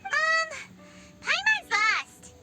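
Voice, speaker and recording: Tiny childlike fairy sidekick for a game: squeaky-high, eager, wildly animated. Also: female voice, very slow, loud music behind the voice, exaggerated voice. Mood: confused. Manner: exclamatory, eager, talks in third person. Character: tiny excitable childlike fairy companion girl. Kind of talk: video game voice acting.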